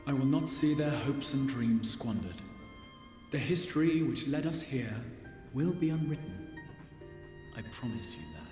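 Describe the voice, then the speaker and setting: An actor playing a solemn, regal guardian of an ancient tower in a fantasy game, speaking in grandiose, archaic phrases. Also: male voice, mid-range voice, a little slow, pauses often, mellow, natural voice. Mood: solemn resolve. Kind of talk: scripted fantasy game dialogue. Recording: echoey hall reverb under orchestral music.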